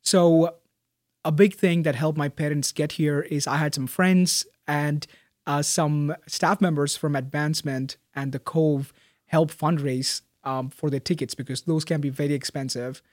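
A young man, a graduating student, answering interview questions in a thoughtful, soft-spoken way with frequent fillers and restarts. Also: a little high, steady tone, measured pace, dry recording, studio quality. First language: Malayalam. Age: young adult.

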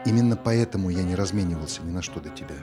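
An actor playing a calm, earnest man who speaks softly and persuasively. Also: sits low, very fast, music in the background, close to an everyday voice. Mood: tender. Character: calm, earnest man, later a taciturn father. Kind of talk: stage play acting.

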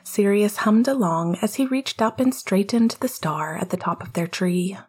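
A woman reading fiction aloud as a scripted narration, with a husky, breathy voice and a soft, gentle storytelling manner. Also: medium pitch, lively intonation, moderate pace. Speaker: podfic reader.

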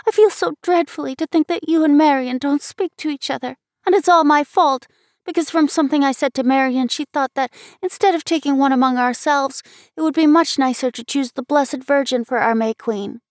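A contrite little girl from a storybook, acted out by a woman, with a high, pleading voice. She speaks apologetically and tearfully, recorded close and dry. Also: a little fast, no room sound, natural voice. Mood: tearful, earnest.

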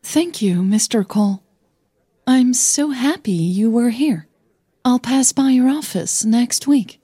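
A woman's voice: on the high side, takes it slowly, dark tone, breathy edge, no room sound, clean studio sound, pitched above the performer's own voice. A woman playing a polite, grateful young woman in an audio drama.

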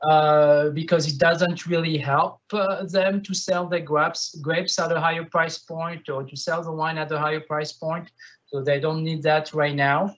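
Hesitant winemaker, slightly high voice. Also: male voice, a bit bright, highs cut off. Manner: hesitant, many fillers. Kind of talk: panel discussion.

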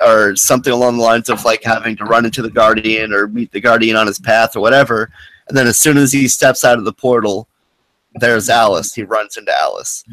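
A man hosting a casual fan podcast, rambling with lots of fillers and restarts, his voice getting hoarse. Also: fairly low pitch, a bit bright, dry recording, rough recording. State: losing his voice. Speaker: podcast host.

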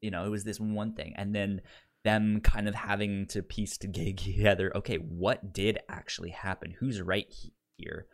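Man, lowish pitch, casual podcast co-host, stammering, repeated syllables, review chat. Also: little pitch movement, moderate pace.

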